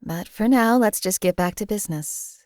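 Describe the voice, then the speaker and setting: An actress voicing a detached female assassin companion in a video game, with deadpan, measured, emotionally flat delivery. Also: mid-range voice, dry recording, studio quality, natural voice. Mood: coolly detached.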